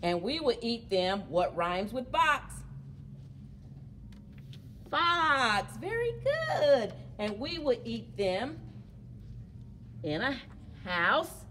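Very expressive woman reading to young children. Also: fairly high pitch, very slow, long pauses. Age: middle-aged. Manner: animated, encouraging, speaks to young children. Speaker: children's librarian hosting story time. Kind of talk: children's story time, sing-along and read-aloud. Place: indoors among library bookshelves. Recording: clear background noise, room ambience.

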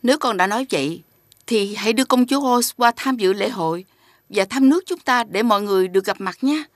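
Gracious, higher-pitched cartoon queen. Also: expressive, brisk, no echo, studio quality. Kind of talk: children's cartoon acting.

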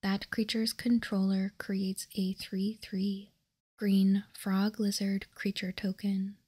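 Slow, whispering ASMR woman. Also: medium pitch, level tone. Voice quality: soft unvoiced whisper, breathy. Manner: slow, deliberate, hushed. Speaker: ASMR host. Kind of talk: ASMR, reading card text aloud.

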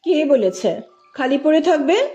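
Woman acting a stern, high-voiced hostel superintendent. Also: big pitch swings, measured pace, mellow. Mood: stern, annoyed. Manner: curt, strict, scolding. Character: stern, easily irritated female hostel superintendent.

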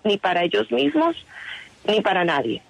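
A woman's voice: medium pitch, big pitch swings, normal speed, creaky. A woman answering a radio interview with earnest insistence and frequent restarts. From Colombia. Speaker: interview guest.

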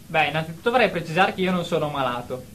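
A man's voice: a little high, animated, rapid-fire, fairly bright tone, a little hiss or hum. A man playing an insistent, deluded therapy patient in a satirical radio drama, earnest and defensive in manner.

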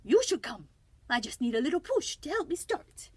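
A woman's voice: high voice, very animated, moderate pace, no room sound, pitched above the performer's own voice. A woman voicing a silly, vain storybook snail with an exaggerated mock French accent and a pompous, theatrical manner. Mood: grand, flirty. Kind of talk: storybook read-aloud character.

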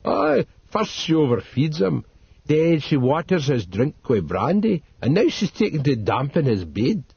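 A man voicing a taciturn old male servant, speaking slowly and gruffly. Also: on the high side, big pitch swings, a little mellow, dry recording, full character voice. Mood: dour. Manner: slow, gruff dialect speech. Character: taciturn old male retainer, dour and blunt. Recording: dull dry studio read.

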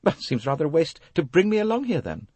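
A man's voice: sits low, wildly swooping pitch, racing, vocal fry, pushed and bright, slightly rough, no echo, heavily acted. A man voicing a sulky, suspicious young woman in an audiobook, curt, defensive and ungracious. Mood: sullen, wary.

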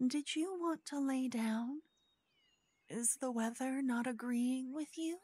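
A woman's voice: high voice, takes it slowly, mellow, no echo, voice pushed up. A woman playing a pushy suitor from a wealthy family in a fantasy audio roleplay, coaxing and over-attentive with false concern. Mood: falsely concerned.

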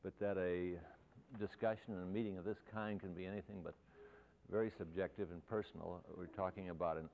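A man's voice: low-pitched, steady tone, fairly quick, vocal fry. A man in his sixties, an architect speaking as guest lecturer to students, reflective and loosely phrased with restarts. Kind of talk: informal talk to students. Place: lecture hall. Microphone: lapel mic. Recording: thin, phone-like, faintly hissy 1970s videotape.

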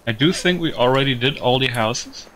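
A man with a bright, clear-cut tone commentates casually over a video game. His delivery is halting and repetitive, as if he is working out his thoughts while he speaks. His pitch sits in an ordinary male range. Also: poor audio. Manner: halting, repetitive, thinking aloud. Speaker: gaming video host.

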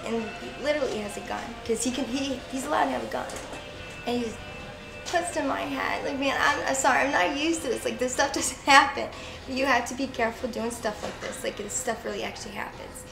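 A woman's voice: high-pitched, gravelly. A woman in her twenties telling her own story candidly in an interview, rambling and emotional, swearing freely. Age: 20s. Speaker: street interview guest. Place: indoors in a fast-food restaurant seating area. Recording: echoey indoor space with music playing.

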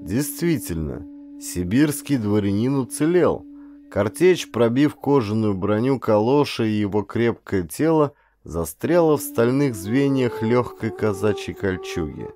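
Low-voiced man narrating dramatic adventure. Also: lively intonation, unhurried, mellow. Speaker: audiobook narrator, actor.

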